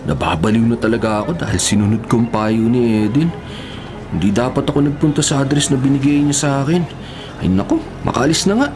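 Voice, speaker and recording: A man's voice: medium pitch, animated, fairly quick, music in the background, lightly acted. An actor playing an earnest, troubled young male student in a Filipino radio horror drama. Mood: troubled, flustered. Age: young adult.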